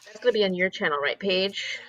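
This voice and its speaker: A woman's voice: mid-range voice, a little fast, no room sound, rough recording. A woman chatting casually and informally as co-host of a group livestream, talking into a close mic on a call.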